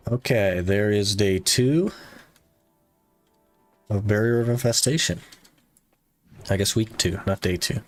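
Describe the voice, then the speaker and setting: A man's voice: on the low side, slow, long pauses, soft background music. A man streaming a game live, chatting casually with his viewers and using many "like" fillers. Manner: relaxed, talks to chat with many "like" fillers. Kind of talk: casual live-stream chat while gaming.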